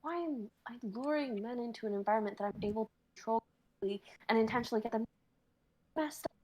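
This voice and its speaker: A woman's voice: fairly high pitch, fast, darkish tone, lightly acted, rough recording. A woman playing a conflicted, guilt-ridden young woman on a date, in a conversational comedy sketch reading. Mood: distressed, guilty. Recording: faint noise, amateur livestream audio.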